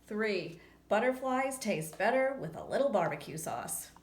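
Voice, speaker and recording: A woman's voice: mid-range voice, unhurried. A woman reading a children's picture book aloud expressively, breaking off for chatty asides to young listeners. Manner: expressive, acts out lines and chats to young listeners. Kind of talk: read-aloud storytelling with casual asides. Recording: roomy echo, faint background noise.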